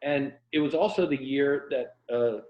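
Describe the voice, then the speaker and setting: A man's voice: mid-range voice, unhurried. Echoey, slightly muffled American man, reflective. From Florida, USA. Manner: reflective, anecdotal, self-deprecating. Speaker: interview guest, fiction writer and former journalist. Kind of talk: casual interview conversation about writing. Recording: echoey room, slightly dull lo-fi audio.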